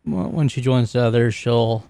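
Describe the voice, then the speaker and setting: A man playing a tabletop roleplaying game, talking casually and describing his character's actions in a halting way. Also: medium pitch, fairly even intonation, warm tone, dry recording, studio quality.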